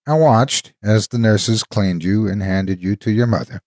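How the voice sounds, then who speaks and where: A man narrating a dramatic story as a devoted, wistful ghostly guardian, an old soldier reminiscing tenderly in a husky, folksy way. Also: on the low side, unhurried, darkish tone, no room sound, studio quality. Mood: solemn, fond. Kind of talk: dramatic first-person horror storytime narration.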